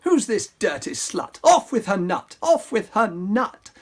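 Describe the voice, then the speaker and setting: A woman's voice: mid-range voice, very animated, slow pace, darkish tone, pitched above the performer's own voice. A woman voicing a pompous, bloodthirsty young prince who blusters and barks orders. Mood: blustering. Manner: blustering, haughty.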